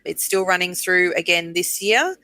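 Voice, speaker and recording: A woman's voice: on the low side, moderate pace, sharp tone, no room sound, rough recording. An Australian woman being interviewed, explaining programs in an enthusiastic, conversational way. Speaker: guest, urban bird programs manager.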